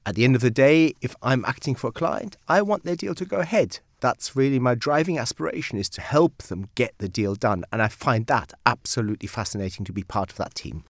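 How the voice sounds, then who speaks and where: A Belgian-born man whose first language is Dutch, speaking as a guest lawyer in a studio interview and explaining things in a measured, emphatic way. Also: mid-range voice, animated, no room sound. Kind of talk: articulate interview answers.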